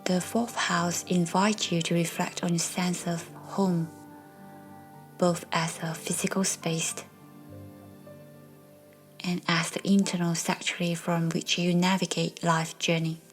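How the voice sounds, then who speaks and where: A woman narrating a guided meditation in a soft, breathy, soothing way, as a meditation guide. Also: lowish voice, level tone, a little slow. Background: soft background music.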